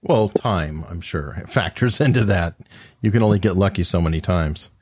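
Male interview host, lowish voice, hesitant casual questions, dry close sound. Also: lively intonation, normal speed, darkish tone, slightly rough, dry recording. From Tucson, Arizona, USA. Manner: hesitant, restarts questions, laughs lightly. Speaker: podcast host.

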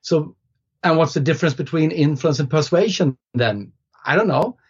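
A man's voice: fairly high pitch, animated, normal speed, husky. Hesitant Swedish-speaking man, lo-fi sound. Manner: thoughtful, hesitant with many restarts and fillers. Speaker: guest author. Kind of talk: casual podcast conversation. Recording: slightly dull lo-fi sound.

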